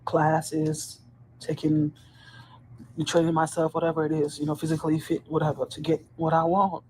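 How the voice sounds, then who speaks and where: A woman's voice: low-pitched, flat delivery, normal speed, a little mellow, slight background noise, poor audio. A woman from the Dominican Republic, a corrections officer, talking earnestly to camera in a personal video from her post at work, emphatic, often restarting and repeating phrases. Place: on duty at a hospital post.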